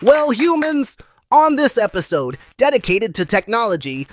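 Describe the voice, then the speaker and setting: A woman's voice: a little high, sing-song, normal speed, slight background noise. A woman playing a playful, chatty puppet who hosts a show in a theatrical way. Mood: showy, upbeat. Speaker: host. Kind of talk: scripted puppet show presenting.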